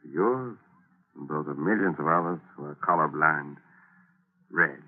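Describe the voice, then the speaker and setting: An actor playing an intense, solemn scientist obsessed with his invention, deliberate and portentous, in 1940s radio style. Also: rumbling low, full character voice. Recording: muffled, phone-like 1940s radio recording.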